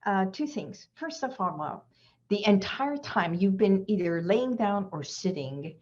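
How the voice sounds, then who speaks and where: A woman's voice: mid-range voice, expressive, a little slow, a little mellow, muffled recording. A woman hypnotherapist giving an earnest, reflective inspirational talk as a guest in an online session, repeating her key phrases.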